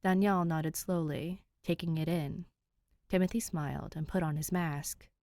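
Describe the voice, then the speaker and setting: A woman reading fan fiction aloud and chatting to listeners in an expressive way. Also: low voice, normal speed, no echo. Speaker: fan fiction podfic reader. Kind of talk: story narration and casual chat to listeners.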